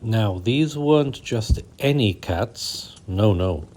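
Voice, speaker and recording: A man hosting a children's bedtime story show, narrating in a gentle, soothing, unhurried way. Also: lowish voice, lively intonation.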